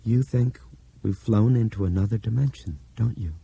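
A man voicing an earnest young man in an audiobook, excitable and easily awed. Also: lowish voice, normal speed, gravelly, slight background noise, pitched above the performer's own voice. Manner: excited, eager.

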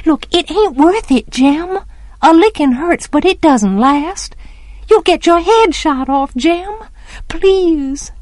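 A woman's voice: very high voice, lively intonation, slow pace, a little mellow, dry recording, pitched above the performer's own voice, full character voice. A woman voicing a stubborn, anxious young Southern girl who pleads urgently, in an audiobook story. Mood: desperate pleading.

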